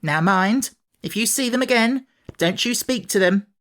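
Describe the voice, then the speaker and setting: A woman voicing an indignant, scolding housekeeper in a children's storybook, sharp and cross in manner. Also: a little high, lively intonation, normal speed, pitched above the performer's own voice.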